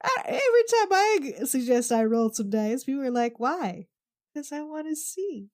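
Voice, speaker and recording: A woman's voice: sits high, sing-song, moderate pace, a little mellow. A woman chatting casually and playfully as a tabletop game player, poking fun at herself. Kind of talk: casual chat.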